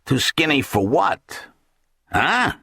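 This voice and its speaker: A man's voice: on the high side, big pitch swings, slow, vocal fry, bright, forceful tone, slightly rough, dry recording, clean studio sound, pitched above the performer's own voice, heavily acted. A man voicing a crass middle-aged tabloid boss, an ex-thug who is blunt and impatient, in an audiobook. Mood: dismissive. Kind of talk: audiobook character acting.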